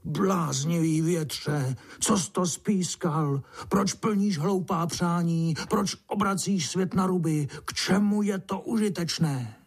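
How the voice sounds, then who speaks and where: A man's voice: fairly high pitch, vocal fry, husky, voice pushed up. A man voicing a grumpy, scornful old grandfather ant in a children's storybook, grumbling and mumbling. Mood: indignant.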